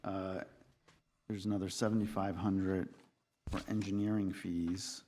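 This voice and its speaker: A committee man questions an applicant in a very deep voice. He is probing and insistent and talks over her to finish his point. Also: little pitch movement, a little slow, warm tone, slight background noise. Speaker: committee member. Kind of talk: questioning at a public meeting. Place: city council chamber.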